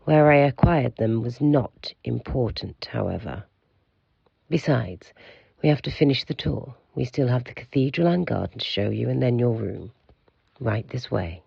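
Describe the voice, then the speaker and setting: Man acting as a gravelly, pompous institute keeper. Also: mid-range voice, lively intonation, no room sound, muffled recording, exaggerated voice. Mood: brisk, dismissive. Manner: formal, measured, curt. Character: aloof, pompous male keeper of an institute for monsters. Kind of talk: audio drama acting.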